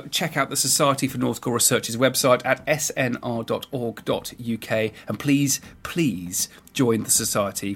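A man's voice: mid-range voice, fairly bright tone, a little hiss or hum. A man hosting a podcast, delivering scripted historical narration.

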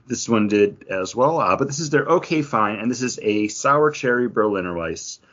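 A man's voice: on the low side, expressive, moderate pace, a bit bright, lo-fi sound. A man co-hosting a podcast, chatting casually as he reviews drinks, hesitant and correcting himself as he goes. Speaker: podcast co-host. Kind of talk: casual beer review chat.

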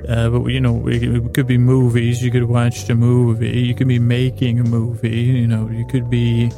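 A man hosting a bedtime podcast in a gravelly, creaky voice, with a goofy, meandering, halting monologue that keeps interrupting itself. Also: mid-range voice, monotone, over music. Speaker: podcast host.

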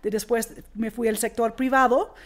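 A woman in her forties who grew up in Australia talks as an interview guest in a language she learned later. She speaks earnestly, with frequent fillers and restarts, and her voice sits a little high. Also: fairly even intonation, normal speed. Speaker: guest, impact investor. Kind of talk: interview conversation.

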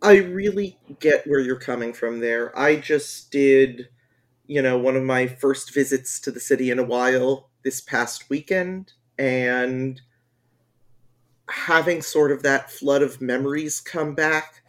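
A man's voice: mid-range voice, slow. A man on a review panel talking casually, reflective and searching for words.

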